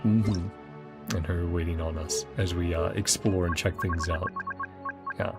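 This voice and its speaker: A man's voice: low voice, fairly even intonation, measured pace. A man streaming a game, chatting casually and chuckling often as he half-reads the dialogue aloud. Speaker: gaming streamer. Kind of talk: casual let's-play commentary. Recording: game music playing underneath.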